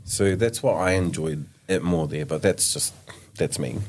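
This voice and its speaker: A man's voice: a bass voice, a little slow, a little mellow. A young man from New Zealand, a podcast guest who answers hesitantly and laughs often. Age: young adult. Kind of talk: casual interview chat.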